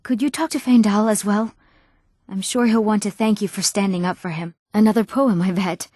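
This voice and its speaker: A woman playing an eager, earnest young townswoman in a fantasy video game, with expressive, clearly enunciated delivery. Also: medium pitch, moderate pace, close to an everyday voice. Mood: surprised. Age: young adult. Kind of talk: scripted fantasy game character dialogue.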